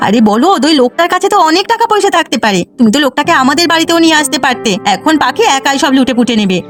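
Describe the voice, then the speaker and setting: A woman voicing a greedy, short-tempered village wife in a cartoon, sharp-tongued and nagging. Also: high voice, animated, racing, faint music behind. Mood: greedy exasperation. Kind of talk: Bengali cartoon voice acting.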